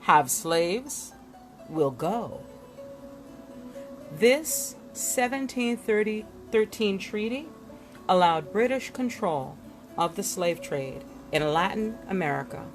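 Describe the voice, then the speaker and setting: A woman narrates a history book as if presenting it to listeners. Her mid-pitched voice swings animatedly, with deliberate pauses and dramatic emphasis. Faint music plays behind her. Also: lively intonation. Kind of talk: expressive read-aloud narration with asides.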